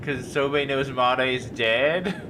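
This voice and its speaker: A man's voice: mid-range voice, takes it slowly, background noise. A man giving casual live commentary as he plays a game, thinking aloud in disbelief. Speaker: game streamer. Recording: music behind the voice. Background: game music.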